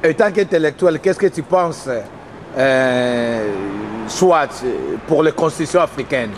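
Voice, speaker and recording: A man's voice: fairly high pitch, lively intonation, a little slow, slight background noise. A man interviewing passers-by on a street, asking short, prodding questions. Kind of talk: vox pop questions. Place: city street outdoors.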